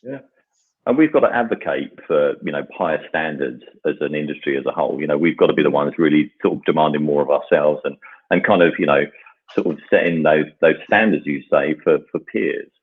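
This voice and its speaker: A man's voice: rumbling low, fairly quick, husky, narrow, tinny audio. A man co-hosting a casual chat show, talking earnestly with many fillers. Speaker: co-host.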